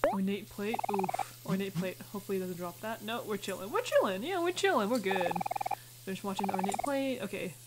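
A woman's voice: medium pitch, lively intonation, fairly quick, very noisy. A woman doing casual let's play commentary, chattily thinking aloud and reading game text out as she goes. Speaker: gaming video host.

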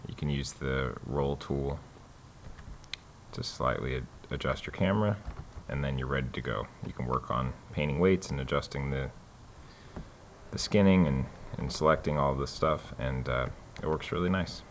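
Very deep-voiced man demonstrating software. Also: moderate pace, a little hiss or hum. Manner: relaxed, many fillers and restarts. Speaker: software tutorial host. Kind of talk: casual screen-recorded tutorial.

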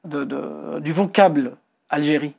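A man's voice: a little high, measured pace, vocal fry, a crowd in the background. A middle-aged man from Algeria, a Kabyle speaker, explaining his views as an author in a TV interview, hesitantly repeating words and stressing key points. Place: indoor television studio.

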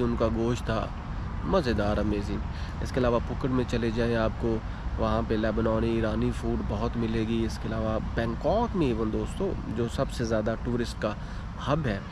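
A man's voice: lowish voice, fairly even intonation, normal speed. A man in his thirties from Pakistan, chatting casually to his viewers in a selfie travel vlog, with frequent "uh" fillers, filmed on a phone held at arm's length in an outdoor park. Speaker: travel vlogger. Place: park outdoors, trees and benches. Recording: outdoor ambience and distant traffic. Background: outdoor park ambience with distant vehicles.

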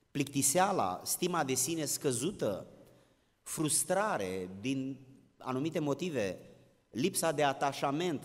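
A man preaching a sermon in a plain-spoken, didactic manner, addressing his listeners directly. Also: medium pitch. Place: large indoor hall with long reverb. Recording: large reverberant hall.

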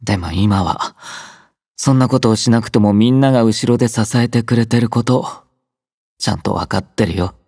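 A man voicing an aloof, laid-back young soldier in an anime-style game, quietly caring, with dry, understated teasing. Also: on the low side, normal speed, husky, no room sound. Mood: tender, sincere. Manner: languid, dryly teasing, understated. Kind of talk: Japanese anime-style game character voice lines.

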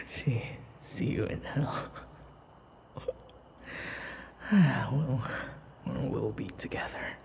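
Man acting a lovesick male vampire, possessive, whispery, gravelly fry, halting sighs, ASMR roleplay, noisy background. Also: medium pitch. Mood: tender, fatalistic. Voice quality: breathy, often whispery, creaky. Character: obsessive, lovesick young male vampire lover.

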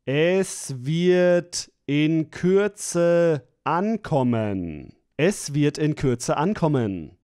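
A man's voice: a little high, sing-song, drawn-out words, a little mellow, clean tone, no room sound, clean studio sound. A man reading phrases for a language course, splitting and repeating them with careful enunciation. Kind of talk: slow, clear phrase-by-phrase repetition.

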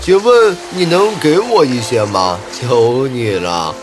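A man voicing an earnest, soft-hearted crocodile in a children's animated fairy tale, with a pleading, emphatic delivery. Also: mid-range voice, takes it slowly, music in the background. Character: earnest, soft-hearted male crocodile, troubled loyal friend.

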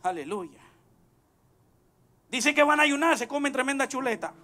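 A man in his thirties preaching from a pulpit on a church stage into a handheld microphone, building from calm teaching to shouts. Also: extremely high pitch, moderate pace. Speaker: pastor. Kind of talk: bilingual church sermon.

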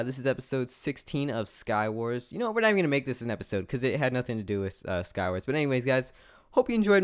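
A man's voice: mid-range voice, smooth, dry recording, clean studio sound. A young adult American man telling a story casually over gameplay, rambling and slangy. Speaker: gaming video creator.

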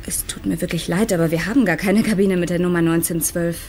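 A woman's voice: on the low side, fairly even intonation, quick pace, darkish tone, close to an everyday voice. Polite, apologetic female cruise receptionist played by an actress. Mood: apologetic, firm. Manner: courteous, formal, composed. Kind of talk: naturalistic German radio drama acting. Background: music.

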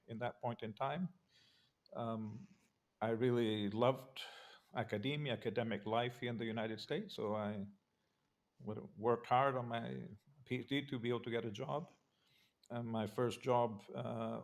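An older man from Argentina, a guest on a video call, telling his life story in a relaxed, measured way with many pauses and fillers. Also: on the low side. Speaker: interview guest, political scientist. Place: home study with bookshelves. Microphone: video call webcam.

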